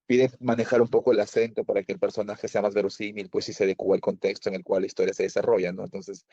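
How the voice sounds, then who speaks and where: A man from Peru hosting an interview, asking questions in a measured, affirming way. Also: fairly low pitch, level tone, warm tone, no room sound. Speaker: interviewer host. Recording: dull, dry sound.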